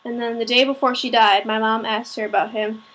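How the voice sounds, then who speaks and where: A young woman with a fairly high, husky voice, sounding slightly sick. She speaks as a vlogger sharing something personal, in a hesitant, informal and self-conscious way. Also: little pitch movement, a little fast, a bit bright, poor audio. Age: young adult, student. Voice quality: raspy. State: slightly sick with a cold-like rasp. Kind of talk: personal talk to camera.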